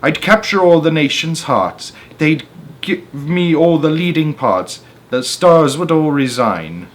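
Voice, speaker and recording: A man reading aloud, voicing a vain, bossy aunt in a shrill, drawn-out voice. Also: expressive. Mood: boastful. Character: vain, preening fat aunt, older woman.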